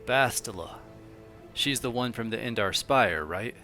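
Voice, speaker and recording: A man's voice: medium pitch, expressive, a little slow, bright, forceful tone, faint music behind. A man voicing a curious young soldier recruit, the hero of a video game, in a plain-spoken, questioning way. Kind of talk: amateur video game protagonist dub.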